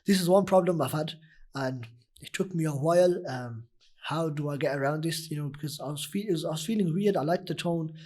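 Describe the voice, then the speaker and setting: A young man with a husky, deep voice, a nasheed reciter talking earnestly in a casual interview, full of "you know" fillers. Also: a little fast, a little mellow. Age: young adult. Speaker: nasheed artist guest.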